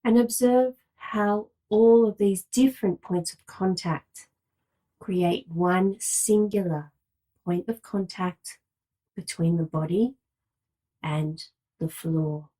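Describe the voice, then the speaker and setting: A woman leading a guided relaxation meditation as a yoga nidra guide, giving calm, measured, soothing instructions with long pauses. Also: mid-range voice, rough recording.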